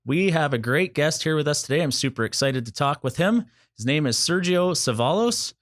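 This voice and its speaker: A man's voice: a little high, expressive, normal speed, fairly bright tone, no room sound, clean studio sound. A man hosting a talk show, upbeat and joking as he introduces guests and promotes the show's causes. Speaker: show host.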